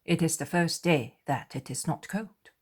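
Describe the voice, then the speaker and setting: A woman voicing Matthew, a calm and observant adult male disciple. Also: fairly high pitch, a little slow, breathy edge.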